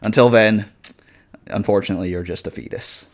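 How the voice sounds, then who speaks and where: A man's voice: on the low side, brisk. A man in his twenties chatting casually on a live stream, reading viewer comments and rambling with lots of swearing, fillers and laughs. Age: 20s.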